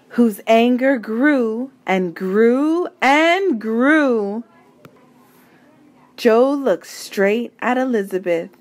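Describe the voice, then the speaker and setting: Lady reading a kids picture book, high voice, super slow, dramatic pauses. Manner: deliberate, dramatic phrasing with emphatic pauses. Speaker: storybook reader. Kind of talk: children's picture-book read-aloud.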